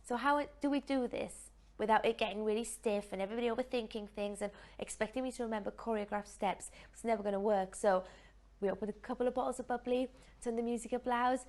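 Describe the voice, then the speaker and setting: A woman's voice: on the high side, darkish tone. A woman with a North Welsh accent, a singer giving composed, articulate interview answers.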